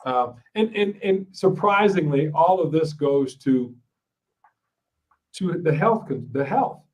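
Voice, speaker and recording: A man's voice: fairly high pitch, expressive, slow, recorded from a distance, rough recording. A man from Ohio hosting an interview show, casual and gracious, often agreeing with his guest. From Ohio, USA. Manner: affirming, frequent agreement, gracious. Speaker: podcast host.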